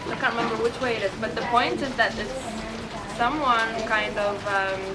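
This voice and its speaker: A woman's voice: a little high, moderate pace, heavy background noise. A woman answering a street interview, thinking aloud and searching for words. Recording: distant from the mic, loud crowd behind.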